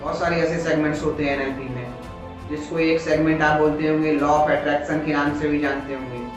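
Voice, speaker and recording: A man with an Indian accent teaching in an explanatory, motivational lecture style, slowing down deliberately on English phrases. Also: fairly high pitch, level tone, lo-fi sound. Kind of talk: motivational lecture on communication skills. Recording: very echoey room, music behind.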